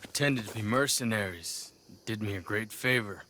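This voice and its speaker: An actor playing a proud, aggrieved young warrior in a video game, speaking in formal, earnest declarations. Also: male voice, on the low side, moderate pace, sharp tone, a little hiss or hum. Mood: bitter. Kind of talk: video game cinematic acting.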